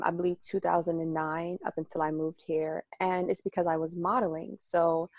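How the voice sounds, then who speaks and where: Low-voiced young woman telling her story. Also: no room sound. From United States, Washington DC and Maryland area. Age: young adult. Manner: chatty, detailed storyteller with frequent ums and laughs. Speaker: radio show host and guest. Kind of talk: casual interview storytelling.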